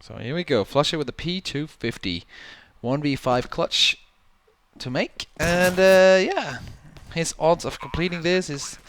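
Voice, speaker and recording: A man doing color commentary on a competitive shooter match, getting loud and high at big plays. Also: unhurried. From Denmark. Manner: excitable, rises sharply in pitch at big plays. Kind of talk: esports commentary.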